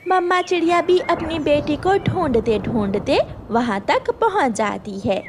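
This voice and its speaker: High-voiced, animated woman storyteller. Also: a little slow, background noise. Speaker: story narrator. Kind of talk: scripted children's cartoon narration.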